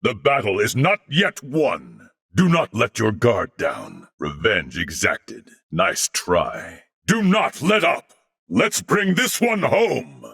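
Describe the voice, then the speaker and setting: A gallant, disciplined warrior man from a video game. His voice is forceful and gravelly, swinging widely in pitch. He phrases everything in a formal, old-fashioned, theatrical way, proud and resolute in temper. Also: exaggerated voice. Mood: composed, self-satisfied, then fierce, taunting. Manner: formal, archaic, crisply declaimed phrasing.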